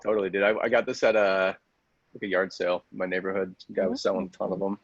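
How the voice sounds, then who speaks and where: A man's voice: deep voice, flat delivery, quick pace, dull audio. An American man chatting casually in laid-back slang, calling people dude. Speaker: podcast cast member. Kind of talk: casual chat.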